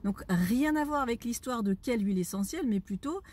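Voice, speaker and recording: A woman's voice: a little high, animated, a little fast, a little mellow, breathy edge. A woman, an aromatherapy educator answering a viewer's question in a didactic way, often checking that listeners follow.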